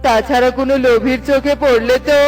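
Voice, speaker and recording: A woman voicing a good-hearted, excitable male pigeon woodcutter in a cartoon, earnest and full of exclamations. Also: high voice, fast talker, soft background music, natural voice. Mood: astonished. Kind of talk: cartoon voice acting.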